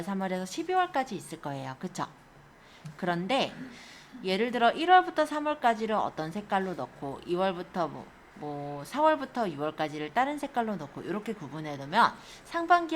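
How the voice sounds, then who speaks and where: A woman instructor giving an informal step-by-step software tutorial, explaining things and often checking that learners follow. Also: fairly low pitch, a little hiss or hum. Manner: explanatory, often checks understanding with tag questions. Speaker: computer skills instructor.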